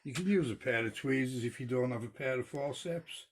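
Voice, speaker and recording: A man giving a casual hands-on repair tutorial in an unhurried, informal, step-by-step way. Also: mid-range voice, a little hiss or hum. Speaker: toy repair demonstrator.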